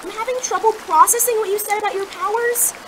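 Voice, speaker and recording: A woman's voice: very high voice, fairly even intonation, quick pace, a bit bright, slight background noise. A woman playing a wary, sarcastic teenage schoolgirl pulled into superhero business, blunt and skeptical, in an audio drama. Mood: nervous, protesting. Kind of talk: audio drama acting with first-person narration.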